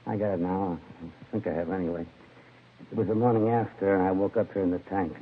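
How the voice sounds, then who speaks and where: An actor playing a hungover American laborer in a 1950s radio crime drama, slangy, aggrieved and rambling. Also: male voice, low-pitched, steady tone, fairly quick, phone-quality sound, natural voice. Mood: groggy, recollecting. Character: grumbling, hungover male laborer in jail. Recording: thin, faintly noisy vintage radio recording.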